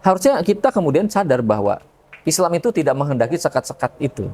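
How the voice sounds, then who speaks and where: A man's voice: a little high, very animated, fast, a little mellow. A man speaking as a religious podcast guest, explaining things conversationally and often checking in with tag questions. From Indonesia.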